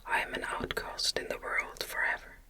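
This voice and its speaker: A woman's voice: normal speed, bright, forceful tone, lightly acted. A woman voicing a lonely, anguished male outcast creature in a whispered audiobook, formal and pleading. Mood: fearful, pleading. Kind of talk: whispered ASMR audiobook reading.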